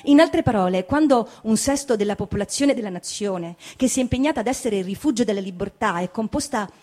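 A woman reading an essay aloud as a stage reader, in a formal, measured oratorical way. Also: medium pitch, animated, darkish tone.

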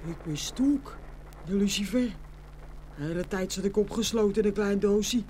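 A man acts out a grumbling burnt match in a fairy-tale radio play. He pitches it high, blunt and complaining, over a faint hum. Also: normal speed, darkish tone, lightly acted. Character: grumbling, hard-done-by male burnt matchstick.

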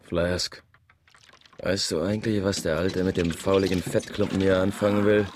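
An actor playing a gruff, superstitious whaling mate in a radio drama, gravelly and grumbling in a conspiratorial way. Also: male voice, low-pitched, flat delivery, moderate pace, close to an everyday voice. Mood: wary grumbling. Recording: clearly noisy sound-effects bed.

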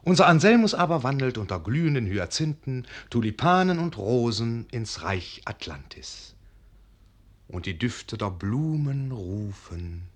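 A man playing a wistful storyteller, narrating a literary radio drama in a formal, measured manner. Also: mid-range voice, very animated. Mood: wistful, storytelling. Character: wistful male storyteller, a poor poet in his garret.

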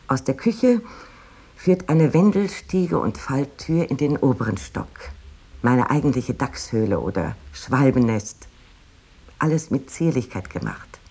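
An actress playing a proud, delighted 19th-century woman writer, reading out her letter in precise, expressive, old-fashioned literary diction. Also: a bass voice, husky, heavily acted.

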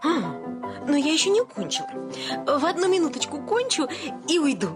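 An actress playing a self-satisfied, gossipy young society wife in a radio play, breezy, gushing and oblivious. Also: female voice, high-pitched, big pitch swings, a little fast. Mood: gushing, chatty. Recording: music behind the voice.

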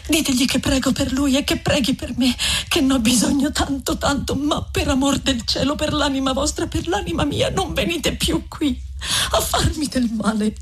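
A woman's voice: high-pitched, normal speed, slight background noise, lightly acted. A woman reading an audiobook voices a devout young peasant woman who talks in a tearful, imploring way. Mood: anguished, imploring. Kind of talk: audiobook reading of a classic novel.

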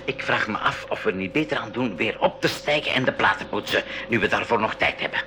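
A man acting a wary, excitable uncle and skipper in a 1980s school radio play, with fretful, emphatic delivery. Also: lowish voice, fast, noisy background, close to an everyday voice. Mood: worried.

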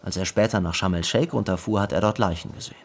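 A man's voice: sits low, quick pace, vocal fry. An actor playing a man who reminisces about a famous companion in a conversational radio play monologue. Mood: animated, reminiscing.

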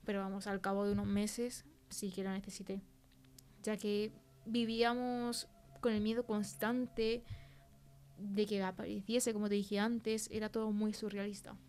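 A woman plays a film worker giving her witness account in an interview, with an accent from Spain. Her pitch stays level, with little rise or fall, in a conversational delivery. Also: flat delivery, measured pace, darkish tone, clean tone, close to an everyday voice. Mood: earnest. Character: female film crew worker recounting a frightening experience. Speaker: interview guest. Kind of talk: conversational fictional testimony.

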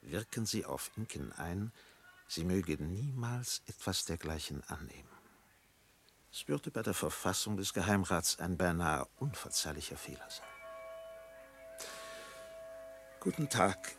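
Very deep, gravelly old pastor. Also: male voice, very animated, a bit bright, soft background music, full character voice. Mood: solemn advice. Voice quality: gravelly, husky. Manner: measured, formal, unctuous. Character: solemn, probing old village pastor, gravely concerned. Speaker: actor. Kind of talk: German radio play acting.

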